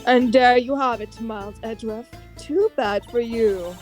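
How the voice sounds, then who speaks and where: A woman's voice: fairly high pitch, measured pace, a little mellow, soft background music, natural voice. A woman reading as a haughty, taunting prosecutor.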